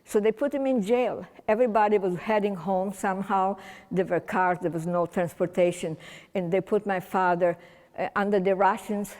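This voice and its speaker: A woman's voice: medium pitch, steady tone, normal speed, darkish tone. A woman in her eighties, a native German speaker from Romania, telling her Holocaust survival story to a community audience in a rambling, conversational way with restarts and wry humor. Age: 80s. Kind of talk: personal testimony talk to an audience. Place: community center hall. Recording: long hall reverb.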